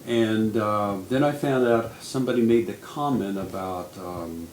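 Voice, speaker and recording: An older man speaking as a marriage counselor in a casual panel discussion, thoughtful and measured with frequent restarts. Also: on the low side. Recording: echoey room, faint noise.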